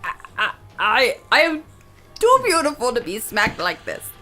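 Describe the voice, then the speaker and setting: A woman's voice: on the high side, huge pitch swings, unhurried, bright, forceful tone, soft background music, full character voice. A woman voicing a vain, squeamish adventurer in a fantasy tabletop game, babbling dramatically in fright. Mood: indignant. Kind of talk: tabletop roleplay.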